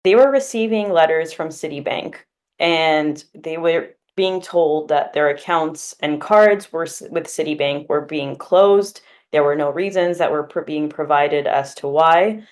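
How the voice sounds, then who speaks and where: A woman's voice: low voice, unhurried, a little mellow. A woman in her twenties, a law student from California, answering interview questions in an earnest, explanatory way with occasional fillers. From Glendale, California, US.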